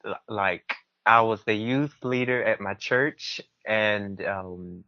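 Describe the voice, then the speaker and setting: Young male guest, bright lowish voice, casual storyteller, slightly dull lo-fi sound. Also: slow pace, no echo. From southern United States. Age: early 20s. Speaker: podcast guest.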